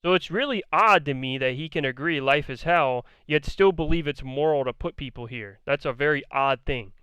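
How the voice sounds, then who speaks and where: A man delivers argumentative, profane commentary, hammering the same points again and again. His smooth voice sits somewhat high and has begun to turn hoarse. He was recorded up close with no room sound at all. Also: normal speed, fairly bright tone, no echo. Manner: argumentative, blunt, profane, repeats himself. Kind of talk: ranting commentary on a debate.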